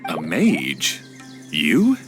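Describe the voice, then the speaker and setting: Animated, laid-back young guy. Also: very deep voice, drawn-out words, music in the background, exaggerated voice. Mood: sardonic, easygoing. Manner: casual, slangy, easygoing. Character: laid-back, friendly young male rail caretaker. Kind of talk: anime game voice acting.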